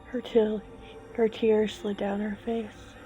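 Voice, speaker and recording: A woman narrating a dramatic story in a suspenseful, halting way, leaving long pauses between short phrases. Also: medium pitch, little pitch movement, dull audio, faint music behind. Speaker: storyteller, host.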